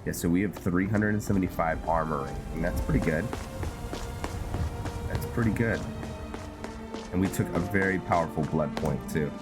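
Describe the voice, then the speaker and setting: Male gameplay host, very low voice, casual and halting delivery, music clearly behind him. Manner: halting, thinking aloud with pauses mid-sentence. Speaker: gameplay host narrating a roleplay series. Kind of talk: casual, rambling gameplay commentary.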